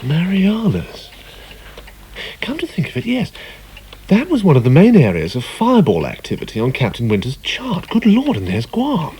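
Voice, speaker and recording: Sardonic reporter, very animated delivery. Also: male voice, on the high side, normal speed, a little hiss or hum, poor audio. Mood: intrigued. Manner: dry, sarcastic. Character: sardonic, grumbling male radio reporter husband. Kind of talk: radio drama acting.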